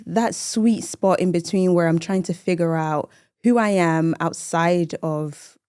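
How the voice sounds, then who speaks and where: A woman in her early thirties talks casually as a podcast guest. Her voice is fairly low and very mellow, recorded close and dry. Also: takes it slowly, smooth, dry recording. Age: early 30s. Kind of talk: casual chat.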